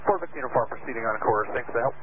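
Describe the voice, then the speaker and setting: A man's voice: mid-range voice, very fast. A man working as a pilot, reading back instructions casually and courteously over aviation radio. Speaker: aircraft pilot. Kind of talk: routine radio readbacks. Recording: tinny, narrowband cockpit radio, faint noise.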